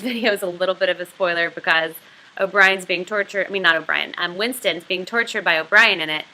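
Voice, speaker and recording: Bright-voiced woman teaching literature. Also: medium pitch, poor audio. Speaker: writing and literature teacher, video host. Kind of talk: explanatory lesson with novel read-aloud.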